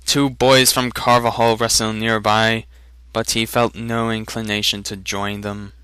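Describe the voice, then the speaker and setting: A man's voice: lowish voice, flat delivery, unhurried, pushed and bright, clear, resonant tone, no room sound. A man narrating a fantasy novel as a dramatic reading. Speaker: audiobook narrator.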